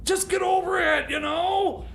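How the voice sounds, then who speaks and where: A man playing a cranky, flustered tinkerer in radio comedy, stammering and muttering haltingly. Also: extremely high pitch, fairly even intonation, vocal fry, shouting, noisy background, full character voice. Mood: indignant. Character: cranky, flustered male tinkerer inventor, fussy patriot.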